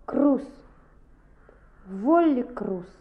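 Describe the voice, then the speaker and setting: A woman playing a mischievous, chatty schoolboy in a radio play, expressive and boyish. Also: fairly high pitch, extremely slow. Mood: sheepish. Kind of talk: radio play narration and dialogue. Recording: muffled, narrow-band old radio recording.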